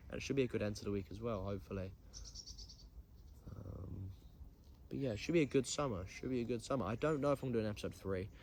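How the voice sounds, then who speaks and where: A young man from England, nasal from hay fever, hosting a casual solo podcast and rambling with self-deprecating asides and many pauses. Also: mid-range voice, darkish tone, slight background noise. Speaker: podcast host.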